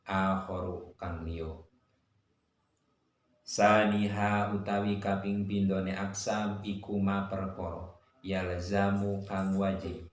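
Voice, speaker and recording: Deep-voiced man teaching in an echoey room. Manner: recites Arabic phrases, then glosses them word by word in a measured cadence; poses and answers his own questions. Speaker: Islamic religious teacher. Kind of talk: religious lesson with classical text reading. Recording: very echoey room, faint noise.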